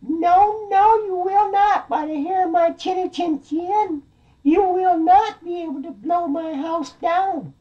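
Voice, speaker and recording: A man's voice: very high-pitched, slow pace, lo-fi sound, pitched above the performer's own voice. A man voicing a clever little storybook pig, childlike and sing-song, cheeky and defiant. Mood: scared, defiant. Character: cheeky, squeaky little male piggy, small and clever. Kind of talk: storybook character voice.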